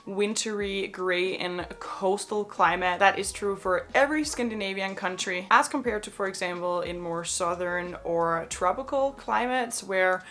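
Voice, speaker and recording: A young woman from Denmark, a fashion vlogger, giving a scripted talk to camera with measured, thoughtful explanations and frequent asides. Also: medium pitch, fairly bright tone.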